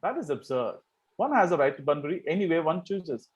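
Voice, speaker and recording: Man playing a breezy, higher-voiced Victorian gentleman. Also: sing-song, fairly quick, lo-fi sound. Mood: flippant, pleased. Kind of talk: amateur table read of a stage comedy.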